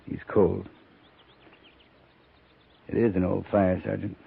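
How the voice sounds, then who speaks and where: An actor playing a dry, sardonic, weary but humane cavalry captain in a 1950s radio western, with a clipped, commanding delivery. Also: male voice, deep voice, level tone, slow pace, gravelly, full character voice. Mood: low, grim. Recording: noisy 1950s radio recording, narrow band.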